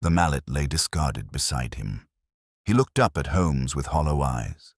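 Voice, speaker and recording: A man's voice: extremely low pitch, animated, a little slow, husky, no room sound, clean studio sound. A man giving a scripted mystery narration in a grave, dramatic, suspenseful manner. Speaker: story narrator.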